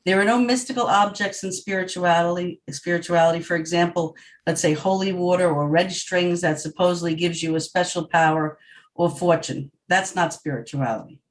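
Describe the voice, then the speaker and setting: Friendly New woman co-host. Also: lowish voice. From New York, USA. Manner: friendly, conversational, slightly halting. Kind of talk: hosting an online discussion. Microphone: video call.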